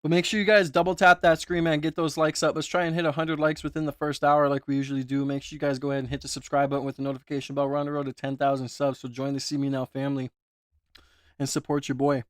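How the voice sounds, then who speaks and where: A man's voice: on the high side, level tone, fast, clear, resonant tone, dry recording, studio quality. A man hosting his own livestream with a sore throat, chatting casually and reading viewer comments aloud. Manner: chatty, asks for likes and reads chat aloud. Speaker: livestream host.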